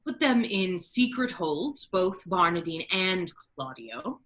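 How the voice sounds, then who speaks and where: Woman as a scheming duke dressed as a friar, lowish for a woman, bright n forceful, stagey shakespeare verse. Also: animated, takes it slowly. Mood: commanding. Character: scheming duke disguised as a holy friar, male, authoritative.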